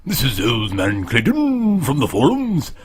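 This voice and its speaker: A man's voice: medium pitch, wildly swooping pitch, measured pace, pitched above the performer's own voice. A man voicing a grumpy, dismissive old man who is too busy to be bothered. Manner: curt, shooing people away.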